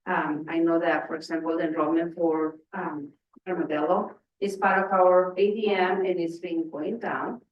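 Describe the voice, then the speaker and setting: A woman with a low voice presenting a finance report at a school board meeting, explaining carefully with many fillers. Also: fairly even intonation, moderate pace, muffled recording. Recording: echoey room, distant mic.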